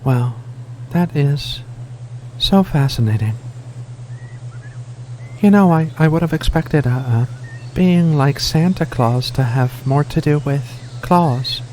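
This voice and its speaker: Gentle alien feline woman as acted by an ASMR performer: soft, very slow, hesitant, curious; ambient noise behind. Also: mid-range voice, lively intonation, low-quality recording. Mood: curious, fascinated. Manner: hesitant, thoughtful, slow pauses between phrases. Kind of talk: ASMR roleplay.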